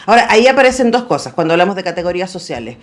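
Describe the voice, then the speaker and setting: A woman's voice: fairly low pitch, sing-song, very fast. A woman hosting a conversational interview, thinking aloud and checking in with small tag questions. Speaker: radio host interviewing an author. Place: radio studio.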